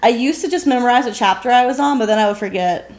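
A woman's voice: a little high, fast. A young adult woman co-hosting a podcast, chatting casually in an unbothered, matter-of-fact way. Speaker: podcast co-host. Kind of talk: casual chat. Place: living room, on a couch.

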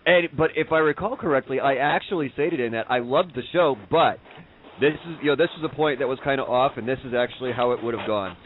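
A man's voice: mid-range voice, a little hiss or hum. Young man on a thin, phone-like line. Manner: halting, repeats words as he goes. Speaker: interviewer, podcast co-host. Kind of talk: casual podcast chat. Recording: thin phone-like call audio.

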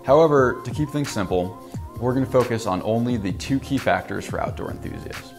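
A man's voice: mid-range voice, brisk. Young man explaining radio range. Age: young adult. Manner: clear, even, informative delivery. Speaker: company presenter. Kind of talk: scripted product explainer. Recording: music bed underneath.

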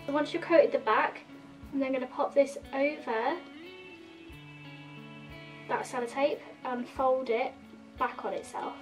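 A woman's voice: high-pitched, steady tone, unhurried, pauses often, far from the mic, soft background music, low-quality recording. A woman in her thirties with a slightly nasal sore throat, chattily explaining a makeup tutorial step by step to the camera. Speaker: makeup vlogger. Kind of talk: casual tutorial talk to camera. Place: indoor room in front of a red plaid backdrop.

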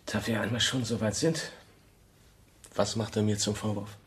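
An actor playing an honest, self-doubting young architect in a radio drama, speaking in a halting, pleading way. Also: male voice, on the low side, steady tone, a little hiss or hum, natural voice. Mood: tense, probing. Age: young adult. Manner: halting, repeats phrases, pleading. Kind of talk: German radio play acting, intimate dialogue.

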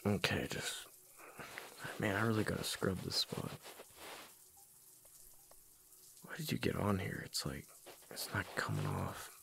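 A man playing a playfully exasperated, affectionate husband in a boyfriend ASMR roleplay, with a deep, husky voice and a halting, casual way of talking. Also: sing-song, fairly bright tone, a little hiss or hum, full character voice. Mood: weary, grumbling. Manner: halting, casual, muttering with repeated words.

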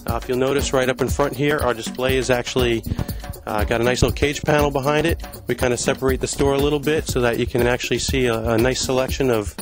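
A man's voice: mid-range voice, fairly even intonation, quick pace. A man in his thirties from Long Island giving a casual, filler-heavy walkthrough of his store, speaking into a handheld mic. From Long Island, New York. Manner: conversational, many fillers and restarts. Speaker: store owner giving a tour. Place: indoor retail store. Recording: background music in a shop. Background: music playing in the store.

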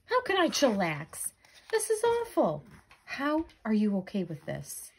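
A woman's voice: a little high, wildly swooping pitch, a little slow, breathy edge, heavily acted. A woman voicing an anxious, exasperated jellyfish, fretful and incredulous, in a picture-book read-aloud. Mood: alarmed, worried.

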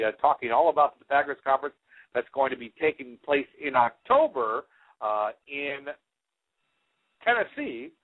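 A man in his forties hosting a radio show, casually rambling through announcements with frequent hesitations on a headset mic. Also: fairly high pitch. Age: 40s. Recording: phone-like, band-limited internet radio sound.

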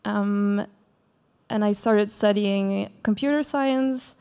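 Young woman with a gravelly voice. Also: medium pitch, takes it slowly, mellow. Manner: shy, hesitant, with many fillers. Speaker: game design student panelist. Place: small venue stage before an audience.

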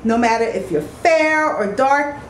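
A woman's voice: sits high, lively intonation, a little slow, slight background noise. A woman in her thirties giving a casual, chatty, informal makeup tutorial as a beauty vlogger. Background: radio music playing in the background.

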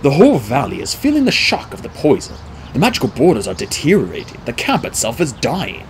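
A man acts out a middle-aged centaur mentor in a storybook. He is dignified and kindly, choosing his words formally and deliberately. His delivery rises and falls very widely, with faint noise behind it. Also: mid-range voice, huge pitch swings. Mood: somber. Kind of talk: audiobook character dialogue.

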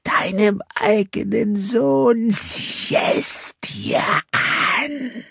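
A man voicing an undead, rotting young son in a horror audiobook, wailing accusations at his father in drawn-out, anguished words. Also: high-pitched, vocal fry, a bit bright, husky, dry recording, studio quality, voice pushed up, full character voice. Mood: wailing, accusing. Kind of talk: dramatic horror audiobook character voice.

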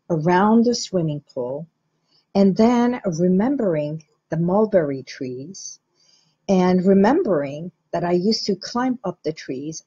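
A middle-aged woman from Iran, a hypnotherapist, explaining the mind to viewers in a slow, measured, guiding way. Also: fairly low pitch, warm tone, slightly rough, dull audio. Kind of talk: teaching talk to camera.

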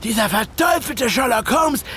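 A man playing an agitated, confused would-be killer narrating a radio play with theatrical, halting pauses. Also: extremely high pitch, expressive, shouting, crowd noise. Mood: tense, dramatic. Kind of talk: dramatic first-person radio play narration.

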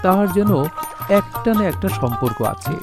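A man narrating a literary audio drama in a measured, poetic recitation. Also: sits high, lively intonation, music in the background.

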